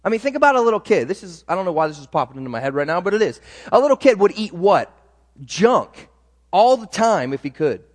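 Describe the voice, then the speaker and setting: A man's voice: sits high, very animated, fast, in a large hall. A man preaching a sermon as pastor, talking in a conversational way with frequent restarts and asides.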